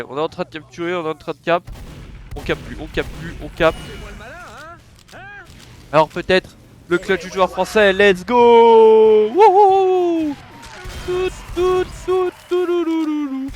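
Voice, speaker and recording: A young man with a cold and a stuffed-up nose, casually commentating his game, chatty and cursing, with sudden excited yelps. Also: high voice. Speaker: gaming YouTuber.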